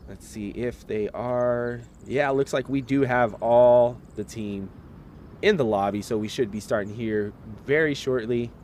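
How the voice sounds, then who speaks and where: Relaxed male esports caster, slight cold. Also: medium pitch, a little slow, slight background noise. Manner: relaxed, chatty, talks straight to viewers. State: getting over a slight cold. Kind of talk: live esports match commentary.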